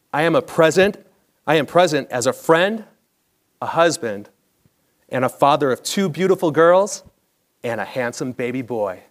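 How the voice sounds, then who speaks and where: An American man in his forties with a slightly high voice tells a personal story from a script. His delivery is measured and polished, like a broadcaster's, as he speaks from a theatre stage. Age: 40s. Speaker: storyteller, former baseball broadcaster. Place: theatre stage, indoors, at a lectern.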